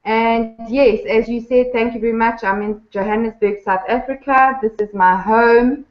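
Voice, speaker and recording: A woman, a guest talking about her life in warm, enthusiastic, unhurried speech with thoughtful pauses, heard over a remote call. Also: fairly high pitch, steady tone. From Zimbabwe. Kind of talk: casual interview chat. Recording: echoey, dull lo-fi remote line.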